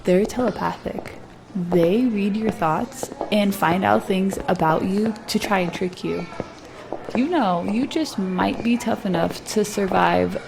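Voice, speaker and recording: A woman's voice: medium pitch, gravelly, noisy background. A woman playing a wry, streetwise young tour guide in a sci-fi audio drama. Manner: wry, cheeky.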